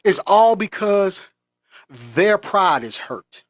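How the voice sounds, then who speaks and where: Male vlogger from the US, impassioned sermon-like rant, higher-pitched voice, dry close recording. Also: very animated, takes it slowly, no echo. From United States. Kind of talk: monologue.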